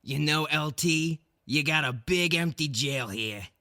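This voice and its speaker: A man's voice: a little high, unhurried, bright, forceful tone. A man voicing a cocky animal gangster villain who taunts in old-time tough-guy slang, in a detective radio play. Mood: smug taunting. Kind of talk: 1940s hard-boiled radio detective drama acting.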